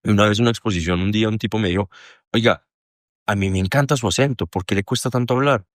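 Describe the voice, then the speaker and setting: A low-pitched young man with a Colombian accent, speaking as a podcast guest. He rambles and circles back, mocking himself and swearing freely. The sound is dry and close, with no room around it. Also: fast talker, dry recording. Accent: Colombian Spanish. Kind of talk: candid casual conversation.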